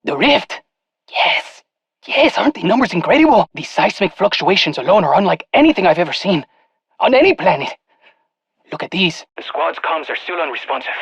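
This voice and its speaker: A man's voice: high voice, sing-song, normal speed, gravelly, fairly bright tone, breathy edge, heavily acted. A man playing an eager, geeky field scientist in a video game, giving precise technical briefings to soldiers over radio comms. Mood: thrilled, then grave. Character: excitable nerdy male field scientist guiding soldiers by radio. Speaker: mission-support scientist character in a shooter game.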